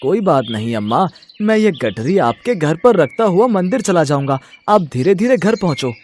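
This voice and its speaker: A man's voice: a little high, very animated, moderate pace, a little mellow, a little hiss or hum. A man voicing a devout, helpful young village man in a story, earnest, polite and innocent. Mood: cheerful, helpful.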